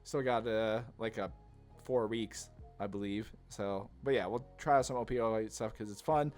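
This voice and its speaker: A man's voice: lowish voice, normal speed, darkish tone. Casual man narrating card gameplay, music behind. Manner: informal, rambling, slangy. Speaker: gaming content creator. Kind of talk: casual card game commentary, thinking aloud. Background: background music.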